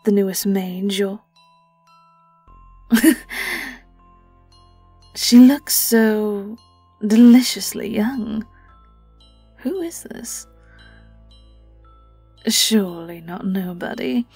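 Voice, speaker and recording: Vengeful female vampire for audio roleplay, played by a woman: British, husky whispering, slow, theatrical, mocking. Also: mid-range voice, warm tone, no echo, soft background music. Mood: gloating, menacing. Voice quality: breathy, often whispered. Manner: taunting, theatrical, lingering on words. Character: vengeful young female vampire, sly, gloating and predatory. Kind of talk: dramatic audio roleplay monologue.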